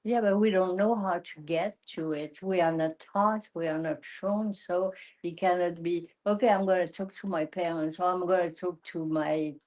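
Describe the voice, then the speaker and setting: A woman's voice: lowish voice, fairly even intonation, normal speed, few pauses, a little mellow, poor audio. A woman talking casually in a group discussion, repeating phrases and acting out quoted remarks. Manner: repetitive, acts out quoted remarks.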